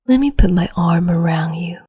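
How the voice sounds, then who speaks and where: A woman voicing a cruel, capricious empress in an ASMR roleplay, whispering softly and condescendingly with sweet menace, close to the mic. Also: lowish voice, normal speed. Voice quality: breathy whisper.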